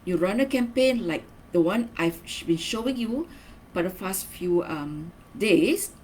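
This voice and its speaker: A woman's voice: mid-range voice, lively intonation, unhurried, a little mellow. Woman presenting a training lesson, noisy background. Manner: instructional, emphatic key words, frequent tag questions like okay and right. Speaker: marketing trainer. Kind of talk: semi-scripted video training lesson, read and explained.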